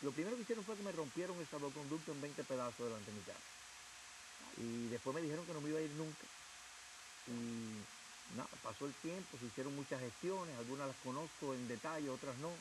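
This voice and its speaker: Fast-talking Cuban man telling his story. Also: fairly high pitch, lively intonation. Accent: Cuban Spanish. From Cuba. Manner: emotional storytelling, acting out quoted officials. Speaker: guest. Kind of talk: interview, personal testimony. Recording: hissy TV broadcast audio.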